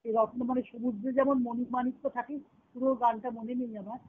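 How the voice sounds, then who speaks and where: A woman's voice: a little high, flat delivery, quick pace. The voice comes thin and boxed-in over a phone conference line. A woman speaks as a spiritual teacher giving a devotional discourse. She explains in a reflective way, returning to key phrases and repeating them. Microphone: phone conference call. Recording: tinny narrowband conference-call phone line.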